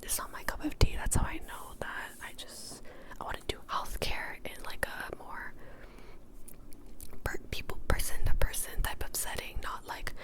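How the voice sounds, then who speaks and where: A young woman whispering in a breathy, casual, rambling ASMR style as she answers questions, softly repeating words now and then. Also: normal speed, rough recording. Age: young adult. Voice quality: breathy, mostly unvoiced whisper.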